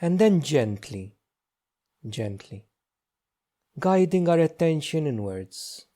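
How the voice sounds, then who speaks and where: A man leading a guided meditation as a teacher, speaking gently and slowly with long pauses. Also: on the high side, big pitch swings. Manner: slow, hushed, gentle delivery with long pauses.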